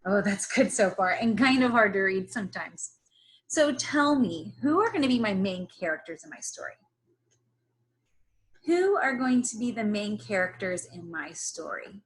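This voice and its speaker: Slow, lively woman teaching young children. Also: medium pitch. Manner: instructional, pausing to question young listeners. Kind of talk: children's read-aloud lesson with questions.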